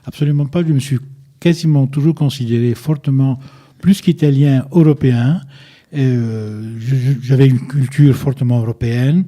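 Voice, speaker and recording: A man's voice: medium pitch, normal speed, dark tone. An older man from Egypt, a radio interview guest who repeats words as he searches for them and insists firmly on his points. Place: radio studio. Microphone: studio microphone, at times too far away.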